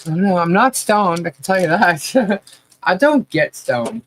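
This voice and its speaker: A woman's voice: lowish voice, lively intonation, normal speed, slight background noise. A woman hosting a casual livestream podcast, sounding nasal today and rambling with restarts and laughs.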